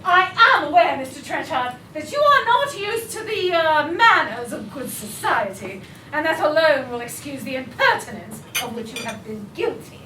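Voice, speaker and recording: A woman's voice: sits high, big pitch swings, normal speed, sharp tone, exaggerated voice. A woman acting a haughty, scandalized society matron in a stage farce, pompous and declamatory. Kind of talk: broad stage comedy reading. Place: restaurant, staged reading before audience. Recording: echoey room, distant mic, faint noise.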